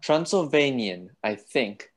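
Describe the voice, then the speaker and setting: A man playing a courteous, troubled gentleman doctor with polite, formal speech in a radio play. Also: mid-range voice, expressive, slow. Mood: preoccupied.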